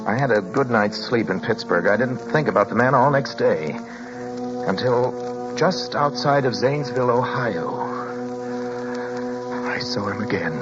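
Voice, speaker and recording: An actor playing a driver who recounts a troubling journey in measured narration that slips into nervous stammers, in a radio play. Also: male voice, medium pitch, lively intonation, lightly acted. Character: uneasy male driver recounting a trip. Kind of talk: 1940s radio drama narration. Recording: dull lo-fi with music behind.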